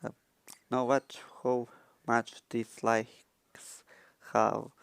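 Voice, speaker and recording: A young man who is not a native English speaker, recording a rambling phone tutorial in halting broken English full of restarts, on a handheld microphone. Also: mid-range voice, little pitch movement, creaky, smooth, no echo. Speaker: tutorial vlogger. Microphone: handheld microphone he keeps touching.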